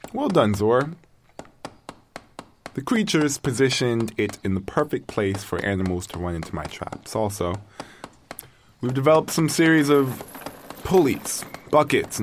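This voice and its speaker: A man playing a proud, bossy castaway in an audio drama, speaking haltingly in a haughty, grudging way to his companions. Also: mid-range voice, a little hiss or hum. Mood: smug. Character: proud stranded male castaway, bossy, grudging, talking to creatures. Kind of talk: dramatic audio fiction monologue, survival log.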